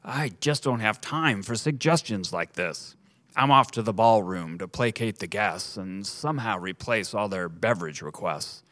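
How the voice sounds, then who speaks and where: A man's voice: fairly low pitch, lively intonation, moderate pace, fairly bright tone. A man voicing a condescending head waiter in a rhyming spoken story. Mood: brusque. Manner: sniffy, dismissive.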